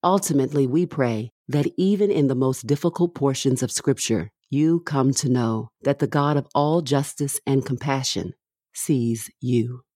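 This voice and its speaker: Mellow male podcast announcer. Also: medium pitch, moderate pace, no echo, studio quality. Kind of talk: scripted announcement and content advisory.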